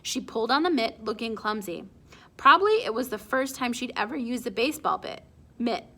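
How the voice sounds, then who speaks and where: A woman reading a children's chapter book aloud in an expressive storytelling style. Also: on the high side, fairly quick. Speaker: storybook read-aloud reader.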